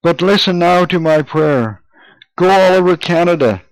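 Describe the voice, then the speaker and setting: A man's voice: sits high, expressive, normal speed, no room sound, pitched above the performer's own voice, poor audio. A man reading aloud as a grieving drunken mother, pleading in old-fashioned speech. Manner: wailing, pleading, archaic speech. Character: grieving drunken mother, anguished, self-accusing.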